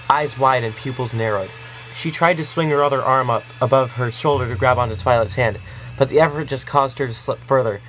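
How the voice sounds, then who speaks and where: A man reading a dramatic story aloud in steady, measured narration. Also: medium pitch, a little hiss or hum, poor audio. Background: faint music.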